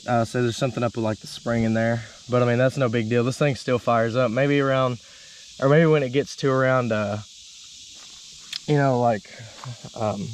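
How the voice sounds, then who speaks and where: A man with a hoarse, slightly sick voice talks casually outdoors, filling his sentences with uh and um. His pitch sits mid-range. Faint insects hum in the background. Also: rough recording. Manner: rambling, lots of uh and um. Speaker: dirt bike vlogger. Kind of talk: casual vlog walkthrough.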